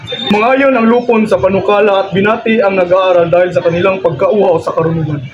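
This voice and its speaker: A man's voice: high-pitched, steady tone, quick pace, lo-fi sound. A young man rehearsing scripted lines as an amateur radio drama performer, repeating them and stumbling over words between bits of casual chat. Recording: loud music over the voice. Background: loud music.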